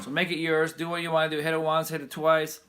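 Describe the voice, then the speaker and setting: A man with a fairly high voice giving a casual guitar lesson in a loose, rambling way. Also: completely flat delivery, fast talker. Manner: loose, rambling, restarts often. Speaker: guitar teacher. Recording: guitar playing loud behind him.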